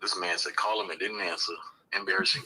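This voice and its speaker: A man calling in by phone to talk through an offer on a deal, relaxed and hesitant, with fillers. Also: deep voice, fast talker, a little hiss or hum, low-quality recording. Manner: laid-back, hesitant with fillers. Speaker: caller, wholesaling team member. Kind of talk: phone call. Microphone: speakerphone on a call.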